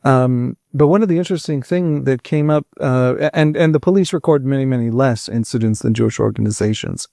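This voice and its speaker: A man's voice: medium pitch, fairly quick, gravelly, hardly any pauses, mellow, no room sound, studio quality. Earnest man interviewing. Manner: earnest, searching, restarts sentences. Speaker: podcast host. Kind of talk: interview questions.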